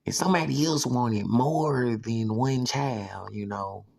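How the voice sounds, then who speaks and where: A man in his thirties talking in a rambling personal monologue, filling every pause with "you know.". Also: medium pitch, gravelly, a little mellow. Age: 30s.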